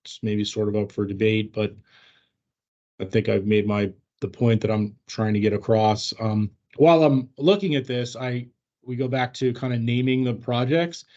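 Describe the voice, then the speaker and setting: A man's voice: medium pitch, fairly even intonation, dark tone. Hesitant man presenting, dull call audio. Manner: hesitant, halting, hedging. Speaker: trainer leading an internal staff training. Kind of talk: informal explanatory presentation on a work video call. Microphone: video call microphone. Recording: dull, lo-fi online meeting audio.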